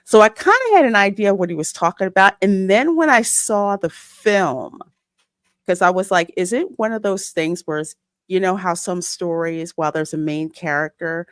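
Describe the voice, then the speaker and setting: A woman's voice: fairly low pitch, no room sound, studio quality. A woman hosting a podcast, talking casually and explaining her points in a conversational way. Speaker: podcast host. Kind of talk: casual discussion.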